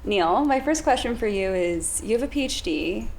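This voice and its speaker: Woman, interviewer, curious and light, creaky voice, faint background noise. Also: mid-range voice, expressive, moderate pace, darkish tone. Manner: light, curious, laughs easily. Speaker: interviewer, head of marketing. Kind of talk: friendly interview questions.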